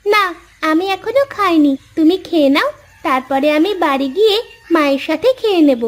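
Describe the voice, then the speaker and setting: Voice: very high voice, expressive, normal speed. A performer voicing a cheeky, affectionate young farmer's daughter in a moral-story cartoon, teasing and a little bossy. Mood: fond scolding.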